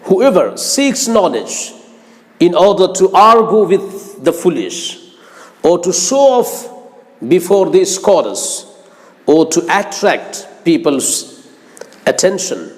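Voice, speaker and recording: A man preaches slowly in a fairly high voice that rises and falls with lively movement. His delivery is formal and earnest, like a religious teacher instructing students. Long reverb trails after his words. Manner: earnest, formal, deliberate phrasing. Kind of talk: religious sermon with Arabic quotations.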